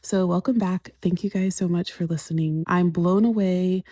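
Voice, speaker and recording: A woman's voice: lowish voice, steady tone, normal speed, warm tone, dry recording. A woman in her thirties from the United States, hosting a casual solo podcast from her living room couch, talking chattily into her phone's voice memos and laughing often. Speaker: podcast host. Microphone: phone voice memo.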